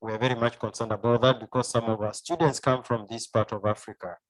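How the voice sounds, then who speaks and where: A man's voice: medium pitch, steady tone, quick pace, low-quality recording. Kenyan man, formal and explanatory. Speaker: university professor, interview guest. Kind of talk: formal interview answers.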